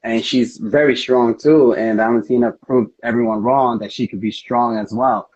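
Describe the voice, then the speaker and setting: A man's voice: medium pitch, steady tone, moderate pace, darkish tone, breathy edge. A man speaking casually as a fighter being interviewed, telling things in an earnest, rambling way. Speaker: MMA fighter, interview guest. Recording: dull lo-fi audio.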